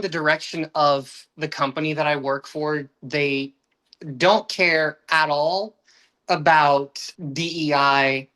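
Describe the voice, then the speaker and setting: A man's voice: on the high side, a bit bright. A young man from the United States, a podcast guest who talks earnestly with frequent restarts and uneven pacing. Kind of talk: casual podcast conversation.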